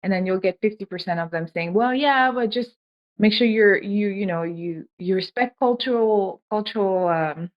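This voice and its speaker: A woman from Senegal hosts in a casual, relaxed way and drops bits of slang. Her voice sits at a mid pitch and sounds slightly dull and lo-fi. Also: moderate pace. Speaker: podcast host, programmatic trainer. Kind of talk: conversational interview.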